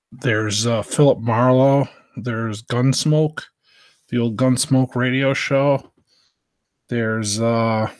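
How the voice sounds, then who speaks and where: A man's voice: mid-range voice, no room sound. Casual, hesitant man in a dry room. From United States, Southern Virginia. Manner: hesitant, meandering. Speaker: live stream host. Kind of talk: casual, rambling live stream chat.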